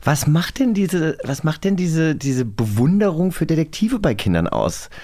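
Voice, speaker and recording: A man's voice: fairly high pitch, sing-song, normal speed, mellow. A man hosting a podcast in casual, teasing, bantering chat. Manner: teasing, joking. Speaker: podcast host.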